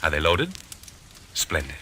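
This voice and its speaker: Obsessed husband, intense, volatile, theatrical old radio style, swinging intonation, faint noise. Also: male voice, a bass voice, sing-song, a little slow, sharp tone, exaggerated voice. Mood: formal, dreamy. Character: obsessed, volatile husband dreaming of fighting a duel. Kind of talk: old-time radio mystery acting.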